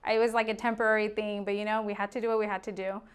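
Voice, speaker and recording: A woman's voice: medium pitch, flat delivery, racing, clear, resonant tone. A woman in her thirties from Arizona, a real estate agent talking openly and chattily as a podcast guest. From Arizona, USA. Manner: open, chatty, laughs easily. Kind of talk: casual podcast interview.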